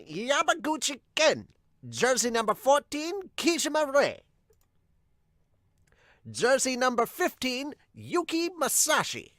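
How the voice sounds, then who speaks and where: Formal roll-call announcer for a manga dub, played by a man, raised pitch, proclaiming. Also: a bit bright, dry recording, studio quality, full character voice. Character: formal team announcer calling out jersey numbers.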